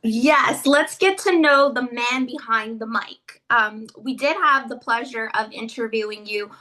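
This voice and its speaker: A woman's voice: on the high side, unhurried, pushed and bright. A woman hosting a podcast interview, reading an upbeat intro and then asking questions. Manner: upbeat, reads a scripted intro, then affirming listener. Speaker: podcast host.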